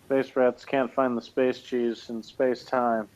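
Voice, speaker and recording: A man's voice: mid-range voice, level tone, slow pace, a little mellow. A man co-hosting a casual review chat, joking around and turning words into space puns. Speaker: co-host. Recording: slightly dull lo-fi sound.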